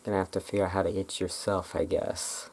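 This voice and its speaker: An ASMR host chatting casually in a rambling, halting way, as in an informal roleplay. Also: low-pitched, little pitch movement, a little mellow, a little hiss or hum.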